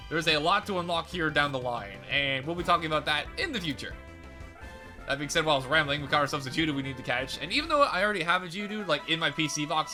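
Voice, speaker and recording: A young man commentating a video game playthrough in a chatty, rambling way, his voice slightly raspy and crackly today. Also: fairly high pitch, sharp tone. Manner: rambling, chatty, self-correcting. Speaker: let's play host.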